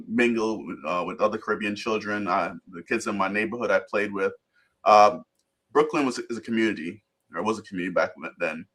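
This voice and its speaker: Man from guyana, low voice, laid-back rambling storyteller, video call, fillers and restarts. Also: a bit bright. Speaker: interview guest. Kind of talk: casual storytelling interview.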